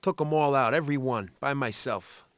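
An actor playing a boastful American soldier in a war game, bragging about past fights. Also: male voice, medium pitch, normal speed, a bit bright, no room sound, lightly acted. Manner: boastful, swaggering. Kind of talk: game banter.

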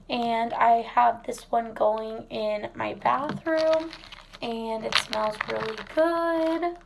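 A hoarse woman chatting casually through a product review vlog in her home kitchen, recorded on a phone camera set on the table. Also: a little high, a little hiss or hum, rough recording. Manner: chatty, relaxed, many fillers. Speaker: wax melt reviewer.

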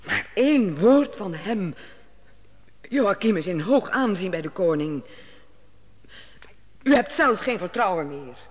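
A woman's voice: fairly low pitch, very animated, slow, long pauses, highs cut off. An actress playing a middle-aged queen in an old radio drama, anxious, questioning and commanding. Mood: urgent. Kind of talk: 1950s-60s radio drama acting. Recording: old radio play with long reverb and faint hiss.

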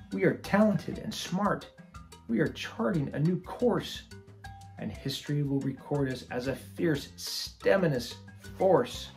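A middle-aged man reading a picture book aloud to children in a deliberate, expressive, teacherly way. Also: fairly high pitch. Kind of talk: children's storytime read-aloud, scripted. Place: small room with shelves of picture books.

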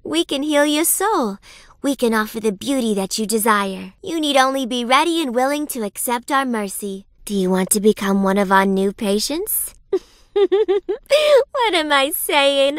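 An actress playing a perky but sinister reaper nurse in a video game, sugary and polite with menace underneath. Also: female voice, fairly high pitch, no echo, clean studio sound. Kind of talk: theatrical video game character acting.

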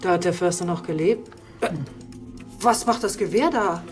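An actress playing a skeptical, sardonic police boss in a comic radio drama. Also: female voice, fairly low pitch, normal speed, faint music behind, lightly acted. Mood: matter-of-fact.

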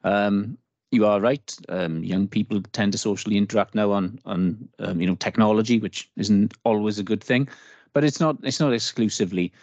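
A man answering questions at a council meeting, measured and hesitant with fillers. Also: lowish voice, darkish tone, no echo. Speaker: council officer. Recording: dry, dull video call audio.

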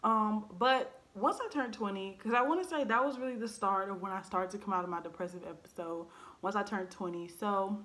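A woman's voice: medium pitch, a bit bright. Young woman, around twenty, vlogger, candid, earnest, reflective, camera at home. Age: 20s. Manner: earnest, blunt and reflective, with frequent ums and likes. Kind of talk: candid personal storytelling to camera. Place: living room at home. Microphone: camera in front at desk height.

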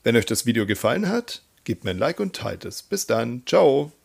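A man working as a language teacher, reading example sentences in a deliberate, instructional way. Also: medium pitch, big pitch swings, creaky, a little mellow, slightly rough. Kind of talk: scripted grammar drill, reading example sentences.

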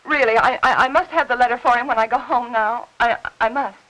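An actress in her thirties with an American accent playing an anxious, pleading housewife in a fifties film melodrama, halting under strain. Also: on the high side, fairly bright tone, natural voice, rough recording. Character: anxious, pleading suburban housewife guarding a secret. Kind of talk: 1950s melodrama film acting. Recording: hissy old 1950s film soundtrack.

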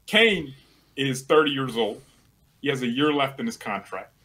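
A man's voice: mid-range voice, lively intonation, normal speed, bright, forceful tone. A young man in his twenties, a guest rival fan, bantering playfully and teasing on a video call from a small room at home. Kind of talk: casual banter.

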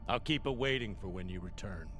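Gruff, low-voiced scheming ship captain. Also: male voice, brisk, a bit bright, faint music behind. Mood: persuasive. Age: middle-aged. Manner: profane, blunt. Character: gruff, scheming middle-aged male spaceship captain. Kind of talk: video game voice acting.

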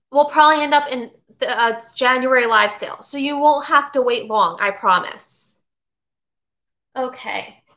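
Middle-aged woman chatting away on a live stream in her kitchen, high bright voice, kinda dull webcam sound. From Florida. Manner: chatty, rambling, reads out viewer comments. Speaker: vintage seller hosting a live haul. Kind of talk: casual live-stream chat. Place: home kitchen, indoors. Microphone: webcam at desk. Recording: slightly dull lo-fi webcam audio.

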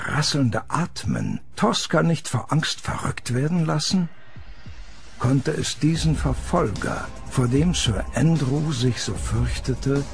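Guy narrating a horror story real slow and suspenseful, crackly low-key fry, music behind. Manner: measured, suspenseful.

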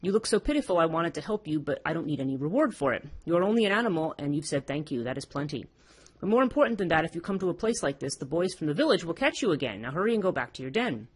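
A storyteller plays a kindly old grandfather from a storybook folktale. He is humble and earnest, gently admonishing, with lively swings of pitch and a slightly husky edge. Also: fast. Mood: modest, concerned.

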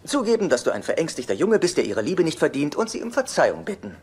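A meek, needy sitcom brother with a fairly high, very animated voice. He is pedantic and wry, hesitating as he wheedles. The soundtrack has clear background noise. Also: quick pace. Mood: earnest, wheedling. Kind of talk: dubbed sitcom dialogue.

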